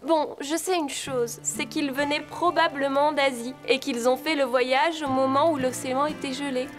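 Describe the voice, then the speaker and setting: A woman voicing an earnest, articulate teenage girl who rides horses in a French animated series. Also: sits high, moderate pace, music in the background, close to an everyday voice. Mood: explaining.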